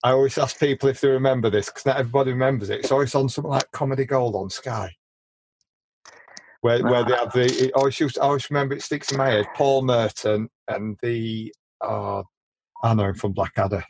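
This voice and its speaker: A man hosting a casual podcast, chatty and quick to share opinions, often stumbling and repeating words as he starts a sentence. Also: medium pitch, darkish tone, a little hiss or hum, low-quality recording. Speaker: podcast host. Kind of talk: casual chat.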